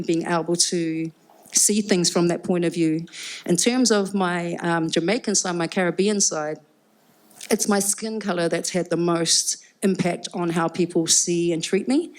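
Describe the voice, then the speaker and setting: A middle-aged woman with a New Zealand accent, first language Cook Islands Māori, speaking candidly and reflectively as a panel guest before a live audience. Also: fairly low pitch. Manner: forthright, reflective, frequent "um" and restarts.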